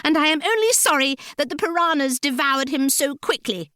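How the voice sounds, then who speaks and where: A woman's voice: sits high, animated, moderate pace, sharp tone, no echo, clean studio sound, voice pushed up. A woman voicing a staunch, defiant adventure heroine in an audiobook, bold and declamatory. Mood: scornful.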